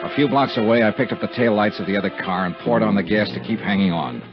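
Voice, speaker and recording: An actor playing a tough, cynical American investigator in a 1950s radio drama, clipped, slangy and sardonic, both narrating and in dialogue. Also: male voice, fairly low pitch, quick pace, over loud music, lightly acted. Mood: grim narration. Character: hard-boiled male investigator, cynical, tough and world-weary. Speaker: lead actor and narrator of a radio detective serial. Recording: muffled, noisy 1950s radio recording.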